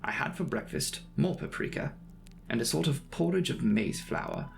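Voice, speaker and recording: Man acting earnest young male traveller, audiobook journal entries, precise, inquisitive, very animated pitch, gravelly fry. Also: normal speed. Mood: earnest, curious. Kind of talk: dramatic audiobook reading of a journal. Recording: music behind the voice.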